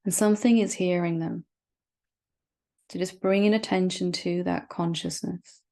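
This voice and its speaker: A woman leading a guided meditation as a spiritual teacher, speaking gently and reassuringly with long, unhurried pauses. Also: lowish voice, steady tone.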